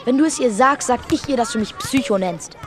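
A woman's voice: fairly high pitch, fairly quick, lightly acted. A performer playing a stubborn, homesick eight-year-old boy in a radio play, sulky and full of insistent questions. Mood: defiant. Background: music.

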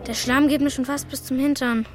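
A woman's voice: sits high, fairly even intonation, brisk, lightly acted. A woman voicing an eager, easily impressed young boy ghost hunter in a children's radio play. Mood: uneasy.

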